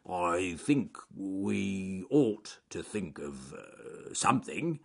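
A man voicing a slow-witted, well-meaning bear who dithers and halts over his words in a children's story. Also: deep voice, sing-song, gravelly, exaggerated voice. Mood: dithering.

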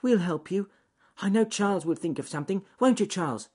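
A man's voice: sits high, lively intonation, fairly quick, darkish tone, slightly rough, voice pushed up. A man voicing a timid, kindly, bewildered rabbit with a fretful, hesitant manner in a children's story. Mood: sympathetic.

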